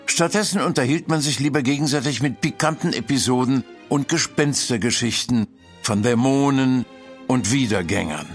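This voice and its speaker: A man's voice: mid-range voice, normal speed, soft background music, natural voice. A man giving formal, scripted dramatic narration as the storyteller of a German audio drama.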